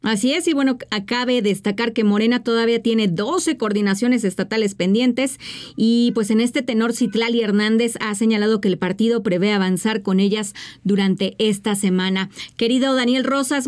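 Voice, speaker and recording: A woman's voice: a little high, fairly even intonation, moderate pace, a little mellow. Composed Mexican news anchor woman. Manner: composed, reads statements aloud. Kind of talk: news presenting.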